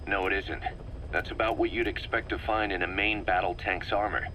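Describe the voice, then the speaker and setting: An actor playing a serious military second-in-command in a video game, giving matter-of-fact explanatory briefings. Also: male voice, sits low, steady tone, moderate pace, bright, forceful tone, slight background noise, poor audio. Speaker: military deputy briefing his boss.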